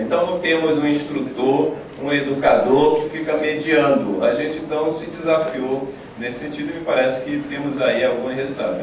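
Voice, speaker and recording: A man's voice: mid-range voice, a little hiss or hum. Brazilian man presenting in echoey hall. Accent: Brazilian Portuguese. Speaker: panel speaker. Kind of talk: conference presentation. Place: conference hall stage. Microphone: table mic on stand. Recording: echoey hall, distant mic.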